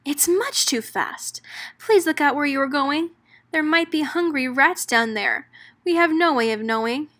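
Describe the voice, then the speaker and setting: A woman's voice: high-pitched, lively intonation, unhurried, sharp tone, exaggerated voice. A woman voicing a cautious, worried male bug husband in a rhyming storybook.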